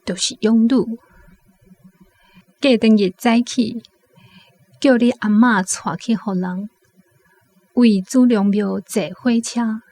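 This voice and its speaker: Slow, higher-voiced Taiwanese woman storyteller. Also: fairly even intonation, warm tone. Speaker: narrator. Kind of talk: scripted radio drama storytelling.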